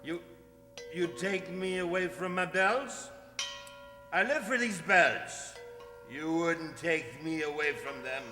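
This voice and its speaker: In a reverberant hall with music behind, a crazed village bell-ringer drunk on power. His voice is sharp and high-set, drawn out slowly in theatrical, desperate defiance, as in a radio mystery. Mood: shaken, desperate. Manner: theatrical, ranting.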